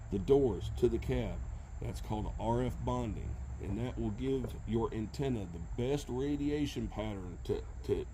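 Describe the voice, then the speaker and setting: A man's voice: mid-range voice, mellow. Plain-spoken man over background noise. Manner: halting, plain-spoken, checks with "okay". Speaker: how-to video presenter. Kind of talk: casual hands-on tutorial. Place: truck bed by a cluttered garage or workshop.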